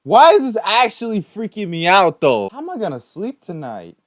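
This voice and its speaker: A man's voice: sits high, huge pitch swings, normal speed, slight background noise. A young man hosting a reaction video, chatting casually in slangy, informal language and often repeating himself.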